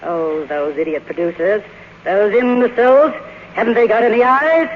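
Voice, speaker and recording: An actress playing an imperious, deluded, aging silent-film star in a haughty, theatrical 1950s radio drama style. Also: female voice, medium pitch, moderate pace, close to an everyday voice. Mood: grandiose, indignant. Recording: dull, noisy 1950s radio recording.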